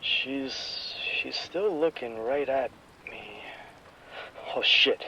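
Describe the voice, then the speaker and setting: A man's voice: medium pitch, extremely slow, vocal fry, sharp tone, slight background noise, exaggerated voice, rough recording. An actor playing a terrified nightclub DJ trapped in his booth, stammering and panicked, in a radio drama. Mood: terrified whisper.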